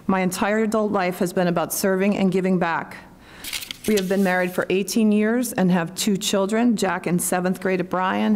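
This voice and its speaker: A middle-aged woman reads her opening statement as a school board candidate. Her voice sits at a medium pitch, and she delivers it steadily, tripping over a word now and then. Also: a little mellow. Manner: reads steadily, with a few stumbles and restarts.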